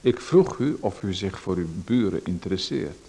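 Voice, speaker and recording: A man's voice: sits low, lively intonation, normal speed, creaky, mellow, full character voice. A man playing a pushy, nosy stranger in a radio play, who makes himself at home and asks for one thing after another. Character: pushy male stranger named Macon, nosy and overbearing.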